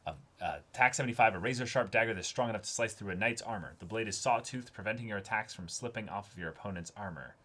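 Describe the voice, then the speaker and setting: A man's voice: lowish voice, fast, hardly any pauses, fairly bright tone. A man co-hosting a casual read-along, reacting playfully and reading bits aloud. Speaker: co-host of a comic read-along.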